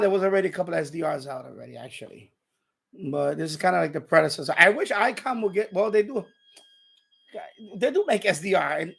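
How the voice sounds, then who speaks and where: A high-voiced man with a husky edge talks casually as a hobbyist livestreamer. He rambles in loose, informal phrases, often repeating himself and muttering as if thinking aloud. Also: a bit bright. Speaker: live streamer, radio hobbyist.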